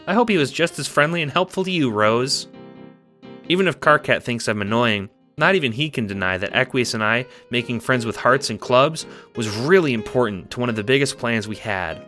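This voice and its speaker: Guy doing excitable chatty troll catgirl narrator, bouncy swooping voice, audio drama, music behind. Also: medium pitch, fairly quick. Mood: cheerful reminiscing. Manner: rambling, playful storytelling. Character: chatty, excitable, affectionate young female alien cat-like troll.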